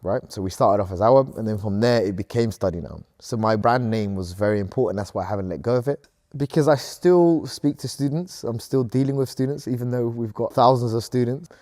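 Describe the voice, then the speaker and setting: A man's voice: medium pitch, fairly quick, mellow, no room sound, studio quality. A man in his thirties from Sudan, a company co-founder answering interview questions in an earnest, upbeat way.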